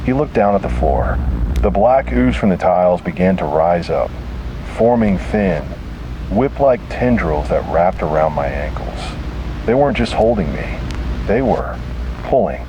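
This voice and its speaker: A man delivering scripted first-person horror narration in a grave, dramatic manner with deliberate pauses. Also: deep voice, lively intonation, background noise.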